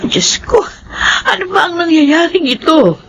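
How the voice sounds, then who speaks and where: A woman's voice: very high-pitched, lively intonation, a little slow, fairly bright tone, full character voice. A middle-aged Filipina mother in radio horror, anxious and tearful with grief. Faint music sits behind the voice. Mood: distraught. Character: distraught grieving Filipina mother of dead daughter.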